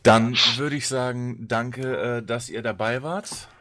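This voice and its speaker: A man's voice: medium pitch, steady tone, slow, a bit bright, dry recording. A man hosting a casual podcast, chatty and articulate. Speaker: podcast host.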